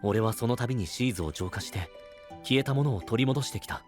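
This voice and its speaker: A male actor playing a gentle, responsible young knight in an audio drama, soft-spoken and earnest. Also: medium pitch, fairly quick, soft background music, lightly acted. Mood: somber explaining. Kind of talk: Japanese anime-style audio drama acting.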